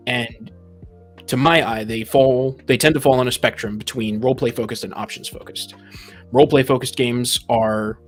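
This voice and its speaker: A man speaking as a podcast guest, explaining his opinions in a casual panel discussion. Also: medium pitch.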